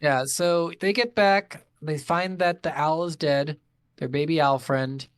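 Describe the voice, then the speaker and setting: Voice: medium pitch, measured pace, smooth, no echo, clean studio sound. A podcast co-host chatting casually about a video game, swearing freely and acting out reactions in mock dialogue. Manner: profane, blunt, informal. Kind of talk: casual chat recapping a game.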